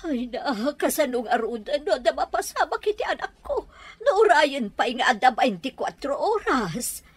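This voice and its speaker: A distraught middle-aged mother in a radio drama, acted by a woman. Her voice is high and swings widely as she pleads and wails over her child. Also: sing-song, moderate pace, no echo. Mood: anguished, urgent. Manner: anxious, wailing, pleading. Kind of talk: Ilocano radio drama acting.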